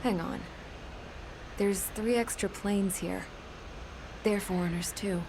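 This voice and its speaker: A woman's voice: mid-range voice, steady tone, takes it slowly, background noise. A woman playing a cynical, tough young aircraft mechanic, narrating in a dry, blunt way. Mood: wry, reflective. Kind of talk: first-person dramatic narration.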